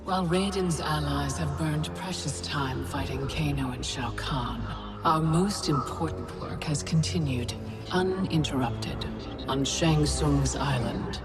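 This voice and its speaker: A woman playing an imperious, scheming goddess in a video game, measured, commanding and grandiose. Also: low-pitched. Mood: cold, calculating. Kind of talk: video game villain acting. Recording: loud music underneath.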